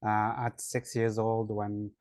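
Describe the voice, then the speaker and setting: A man's voice: lowish voice, completely flat delivery, slow, a little mellow, no echo, studio quality. A man with a French accent, an online English teacher, answering interview questions in a hesitant way with many fillers. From France.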